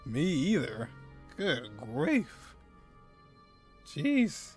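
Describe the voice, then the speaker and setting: A man's voice: on the high side, huge pitch swings, extremely slow. A man in his twenties giving casual live reaction commentary as he streams a game. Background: game music.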